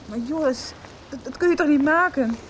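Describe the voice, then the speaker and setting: A woman's voice: high voice, moderate pace, slight background noise, close to an everyday voice, poor audio. An actress playing a fierce, loyal teenage girl in a radio soap, direct and emotional, with rain behind her. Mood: upset, pleading. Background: rain, music.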